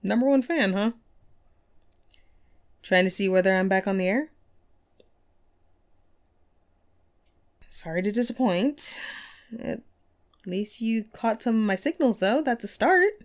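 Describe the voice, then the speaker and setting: A woman's voice: mid-range voice, animated, moderate pace, pauses often, lightly acted. A woman playing a friendly, wistful ghost who hosted a paranormal radio show, chatty and playful in an intimate audio roleplay. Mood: teasing, warm. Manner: playful, conversational, self-deprecating, breaks into chuckles. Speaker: radio host.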